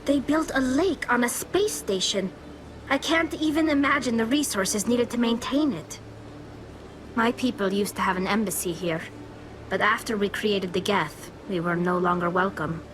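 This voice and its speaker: A woman's voice: medium pitch, normal speed, noisy background, close to an everyday voice. A woman voicing an earnest, loyal young alien woman engineer who speaks formally and from the heart. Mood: wondering. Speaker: crew member.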